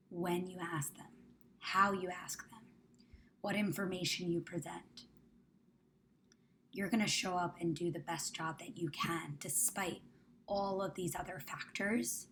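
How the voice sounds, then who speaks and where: A woman guides a meditation in a fairly low voice at a very slow pace. Her delivery is soothing and measured, with phrase endings softening toward a whisper. Ambient noise sits faintly but noticeably behind her. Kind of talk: guided meditation, spoken to the listener. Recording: clear background ambient noise.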